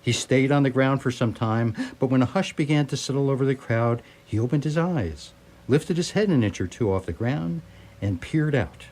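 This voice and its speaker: A man's voice: mid-range voice, animated, normal speed, darkish tone. A man reading scripted story narration for radio theatre, with a wry, literary storytelling manner. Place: live radio theatre performance.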